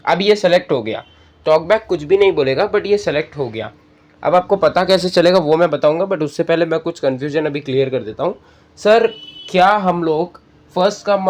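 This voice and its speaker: A man hosting an app tutorial, explaining step by step and addressing viewers as friends. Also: a little high. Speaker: tutorial host. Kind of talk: spoken screen-reader app tutorial.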